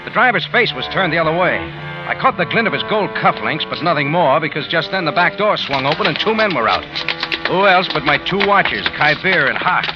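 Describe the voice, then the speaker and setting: A man's voice: fairly high pitch, animated, a little fast, natural voice. An actor playing a tough, wry detective who tells his own story in clipped slang, in 1940s radio drama style. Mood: tense, rueful. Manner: hard-boiled, clipped, slangy. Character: tough, wry American detective hero telling his adventure. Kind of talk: 1940s radio drama acting and first-person narration. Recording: muffled old radio recording, music behind.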